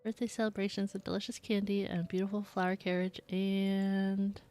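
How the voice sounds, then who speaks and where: A woman's voice: mid-range voice, little pitch movement, brisk, mellow, soft background music. A woman streaming a video game, chatting casually and reading the game text aloud. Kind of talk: casual gameplay commentary.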